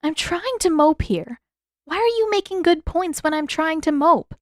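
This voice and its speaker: A woman's voice: very high-pitched, lively intonation, normal speed, dry recording, clean studio sound, voice pushed up. A woman voicing a mopey, teasing teenage girl with a playful grumble in an audiobook story. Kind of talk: audiobook character acting.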